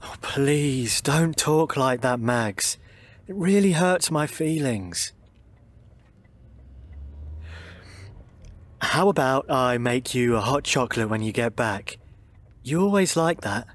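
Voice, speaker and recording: An actor playing a gentle, anxious husband in a radio drama, soothing and pleading with some hesitation. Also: male voice, medium pitch, expressive, unhurried. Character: gentle, anxious husband coaxing his confused wife.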